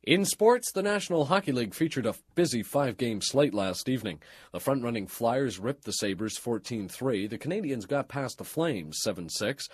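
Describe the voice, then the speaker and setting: A man reading a scripted radio news and sports bulletin in a formal, measured broadcast delivery. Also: mid-range voice, expressive, breathy edge, no echo, studio quality.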